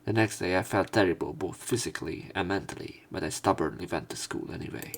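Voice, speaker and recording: A man's voice: deep voice, fast talker, creaky. A man reading visual novel narration aloud in a subdued way, as a let's player.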